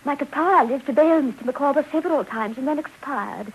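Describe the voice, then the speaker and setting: A woman's voice: high-pitched, brisk, lo-fi sound. A woman playing a prim, melodramatic, devoted middle-aged wife in a theatrical 1950s radio drama. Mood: genteel, proud. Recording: hissy old radio broadcast.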